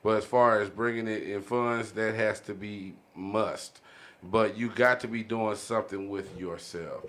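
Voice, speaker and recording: A man's voice: on the low side, steady tone, a little slow, a bit bright, reverberant. A man hosting a casual group discussion, putting questions to his guests in chatty, informal talk. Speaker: podcast host. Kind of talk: casual chat, moderating a discussion.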